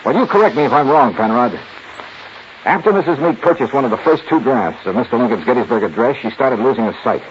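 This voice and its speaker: Actor as shrewd American radio detective, animated pitch. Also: male voice, fast talker, close to an everyday voice. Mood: accusing, confident. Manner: clipped, confident, probing. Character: cool, shrewd male insurance investigator who narrates. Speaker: lead detective and narrator. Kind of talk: 1950s radio drama, first-person narration. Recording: muffled, faintly noisy 1950s radio recording.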